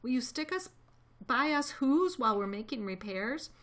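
Woman doing a tiny, pleading mayor, very high voice. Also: lively intonation, normal speed, bright, forceful tone. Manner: pleading, high-pitched little-person plaintiveness. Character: tiny, beleaguered male mayor of miniature folk. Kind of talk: read-aloud storybook character voice.

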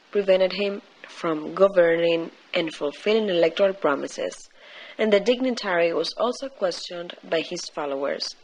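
A woman reading a scripted news commentary in a formal, deliberate way. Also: on the low side, poor audio. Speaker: news reader.

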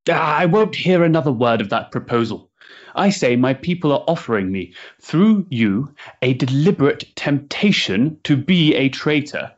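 Man playing an animated, overwrought young gentleman. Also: a little high, normal speed, muffled recording. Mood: impassioned, defiant. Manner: impassioned, declamatory. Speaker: actor. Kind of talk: period stage-drama acting.

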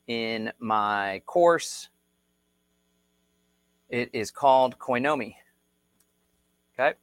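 A man in his thirties with a Texan accent, giving an energetic, chatty live-streamed tutorial and reading viewer comments aloud. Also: medium pitch, no room sound. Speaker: crypto instructor.

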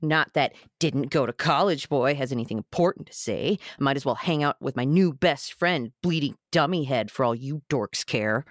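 A sulky, left-out young man, read by a woman in a low register with a dry, close sound. The delivery is sardonic and wordy, in the manner of a script table read. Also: animated, normal speed, hardly any pauses, no echo.

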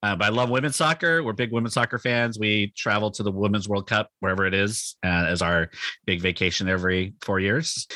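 A man's voice: deep voice, bright, forceful tone, no echo. A man in his fifties with a Californian accent talks casually in an interview, rambling and joking at his own expense. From Northern California, United States. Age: 50s. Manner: self-deprecating, jokey, rambling. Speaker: pastor, podcast guest.

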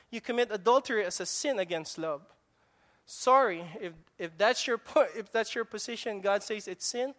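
A male preacher with a Filipino accent delivers a sermon in a noticeably high voice. He stresses his points emphatically, often repeating words and restarting his sentences. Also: a bit bright. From Philippines. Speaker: pastor.

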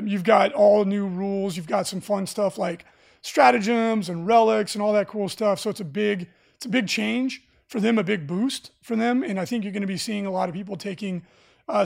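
A raspy-voiced man hosting a casual live stream for a game store, talking enthusiastically with lots of fillers. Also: high-pitched. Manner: enthusiastic, rambling with many fillers. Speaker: livestream host for a game store.

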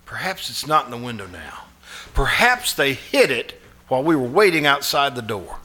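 A man's voice: medium pitch, big pitch swings, moderate pace, pushed and bright. An actor playing a desperate, sleepless, nervous man who hesitates and stammers in old-time radio suspense style. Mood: anxious, puzzled. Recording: live stage performance with music.

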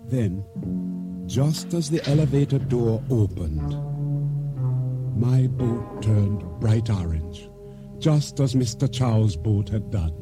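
A man acting a regretful island boatman in a radio drama, narrating and speaking in a solemn, measured way. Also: mid-range voice, expressive, loud music behind the voice. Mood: solemn, despairing. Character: regretful male island boatman recounting his downfall. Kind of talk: first-person narration and dialogue in radio drama.

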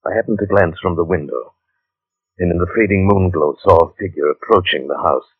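A muffled, narrow-band vintage radio recording carries an unsettled gentleman narrator. His voice is fairly deep and rough-edged. He tells his suspense tale earnestly, with measured phrasing and theatrical weight. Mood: brooding, hushed. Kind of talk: 1940s radio acting, first-person suspense narration.

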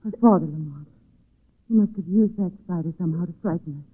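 An actress playing a concerned, inquisitive companion to a sleuth, earnest in 1940s radio style. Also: female voice, fairly low pitch, quick pace, slightly rough. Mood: questioning. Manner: earnest, questioning. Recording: tinny narrow-band 1940s radio recording.

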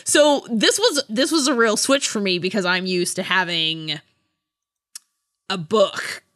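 A woman's voice: mid-range voice, unhurried, a bit bright. A woman co-hosting a casual chat about books, often giggling as she talks. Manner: giggly, laughs while talking. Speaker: podcast co-host. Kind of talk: casual book-review chat.

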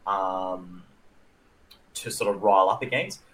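An Australian man co-hosting a podcast in casual conversation, haltingly feeling for his words. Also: deep voice, fairly bright tone. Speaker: podcast co-host.

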